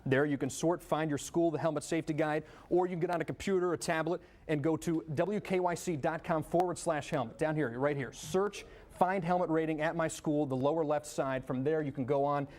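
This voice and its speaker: A man's voice: on the high side, a little fast, darkish tone. A man with an American accent explaining things live as a television news reporter.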